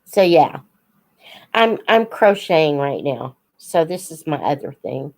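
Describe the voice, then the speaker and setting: Woman doing a chatty livestream q&a, kinda low voice, really slow and unhurried, thinks out loud. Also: very animated, creaky. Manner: unhurried, thinking aloud, talks to viewers. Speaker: host of a live book Q&A, teacher-like reader. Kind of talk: casual live chat, answering viewer questions.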